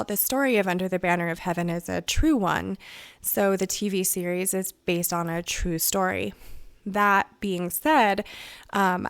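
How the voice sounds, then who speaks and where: A woman hosting a solo podcast, her voice raspy and hoarse from crying, talking in a chatty, rambling way. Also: lowish voice, no echo. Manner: chatty and rambling, many "like" and "you know". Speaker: podcast host. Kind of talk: casual solo podcast review.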